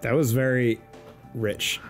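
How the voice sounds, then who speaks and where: A man's voice: mid-range voice, level tone, slow pace, faint music behind. A man co-hosting a game playthrough, reacting with dry amused remarks and guiding the player. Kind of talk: casual comedic chat.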